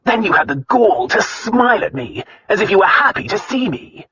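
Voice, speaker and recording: A narrator doing a drawling, teasing aristocrat. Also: male voice, sits high, sing-song, moderate pace, muffled recording, a little hiss or hum, pitched above the performer's own voice, full character voice. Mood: irritated. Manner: drawling, casual, softly amused. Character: easygoing, teasing aristocratic husband.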